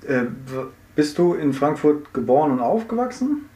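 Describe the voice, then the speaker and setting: A man interviewing casually, with short murmured backchannels and relaxed questions. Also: fairly high pitch, normal speed, recorded from a distance. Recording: roomy echo, off-mic.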